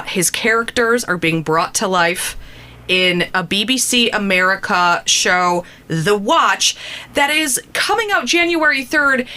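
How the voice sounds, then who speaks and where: A woman's voice: medium pitch, bright, forceful tone. A woman hosting a radio interview, talking with gushing fan enthusiasm. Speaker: radio host.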